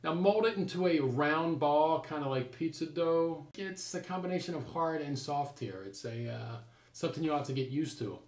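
A man's voice: fairly high pitch, moderate pace, a little mellow. An American man in his forties giving a playful, folksy, casual cooking demonstration in a home kitchen. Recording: roomy kitchen echo.

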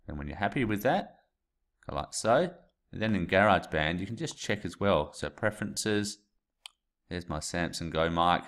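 A man's voice: sits low, lively intonation, normal speed, fairly bright tone. A man in his thirties talking through a screen-recorded tutorial step by step into a USB desk mic in a small room. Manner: plain step-by-step explaining with asides. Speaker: teacher. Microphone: USB desk mic, webcam framing.

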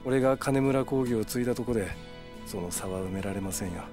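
An actor playing a stern, composed yakuza man in a Japanese video game, speaking in a measured, restrained way. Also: fairly low pitch, close to an everyday voice. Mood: humble, restrained. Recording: music behind the voice.

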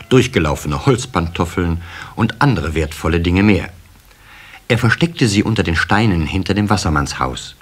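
A man narrating a scripted children's story in an expressive storytelling manner. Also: low-pitched. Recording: cassette recording with faint hiss.

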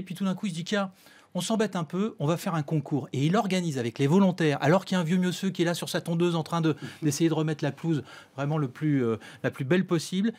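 A man's voice: on the high side, a little mellow. A man on a sports radio panel, telling an anecdote in a studio. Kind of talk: anecdotal storytelling. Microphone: studio desk microphone.

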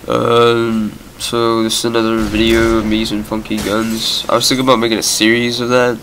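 Sick young man with a flat, nasal voice. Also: on the low side, normal speed. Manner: rambling, filler-heavy. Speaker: gameplay commentator. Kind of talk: casual gameplay commentary. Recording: background game gunfire noise.

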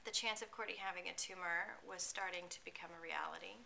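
A woman's voice: lowish voice, fairly quick, gravelly. Woman, thirties, earnest chatty testimonial, noisy home recording. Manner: earnest, conversational, with small restarts. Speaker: pet owner giving a testimonial. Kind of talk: casual spoken testimonial. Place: small room at home, indoors. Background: steady background noise.

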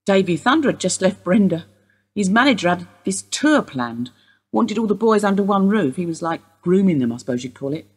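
An actress playing a sharp-tongued, bitter woman in her sixties, wry and sardonic in a radio play. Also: mid-range voice, very animated, a little fast, husky.

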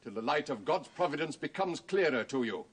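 A British actor playing a pious, commanding Puritan general with an authoritative, sermonising manner in a period drama. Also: male voice, a little high, lively intonation, a little fast, husky. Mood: stern persuasion. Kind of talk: period television drama acting.

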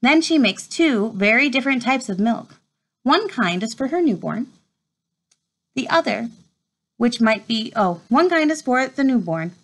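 A woman in her thirties reading a children's nature book aloud in an expressive storytime manner, recorded at home. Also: fairly high pitch, unhurried. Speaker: read-aloud host. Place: small room at home, indoors.

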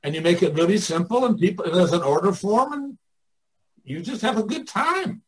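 Husky older man coaching, higher-pitched. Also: animated, normal speed, poor audio. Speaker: artists' coach and mentor. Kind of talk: informal one-on-one coaching.

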